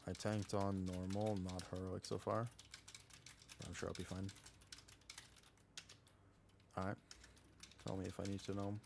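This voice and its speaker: A man's voice: deep voice, little pitch movement, a little slow, gravelly, long pauses, noisy background. A man chatting casually and slangily as he livestreams an online game, talking to his viewers and teammates in a laid-back way. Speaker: live streamer playing an online game. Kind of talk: casual livestream chat while gaming.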